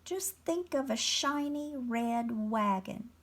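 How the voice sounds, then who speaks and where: Small male mole for a storybook, woman performing: high squeaky pitch, eager, pleading manner. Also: animated, normal speed, a bit bright. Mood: coaxing.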